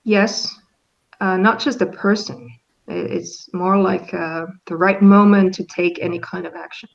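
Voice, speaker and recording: A woman's voice: on the low side, fairly even intonation, a little slow, gravelly, highs cut off. A woman from Finland, a guest in a casual conversation, talking thoughtfully with frequent hesitations and restarts. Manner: hesitant, restarts often, reflective. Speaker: interview guest.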